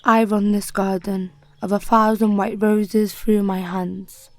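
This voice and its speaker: A woman poet giving a slow, measured, solemn spoken-word poetry reading. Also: medium pitch, little pitch movement, a little mellow, no room sound, soft background music.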